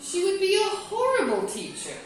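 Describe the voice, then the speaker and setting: A woman's voice: very high voice, wildly swooping pitch, moderate pace, a little hiss or hum. A woman from California leading a classroom discussion as an English teacher trainer, chatty and checking in with her group. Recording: roomy echo, mic from a distance.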